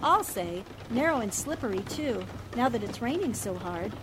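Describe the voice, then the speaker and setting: A woman's voice: a little high, animated, moderate pace, lightly acted. An actress playing a good-natured, sensible newspaperwoman in a 1940s-style radio drama, with a mild, agreeable manner. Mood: mild, rueful. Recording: clear rain and car noise behind. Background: rain and vehicle sounds.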